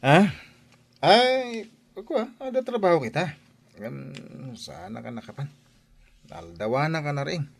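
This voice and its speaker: A man plays an easygoing Filipino boozer in an audio drama. His voice is a little high and leaps around in pitch, with hesitant, evasive delivery. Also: sing-song, a little slow, vocal fry, pauses often, no echo, heavily acted. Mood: sheepish, evasive. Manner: hesitant, stammering excuses. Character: sheepish hard-drinking grown son, evasive and easygoing.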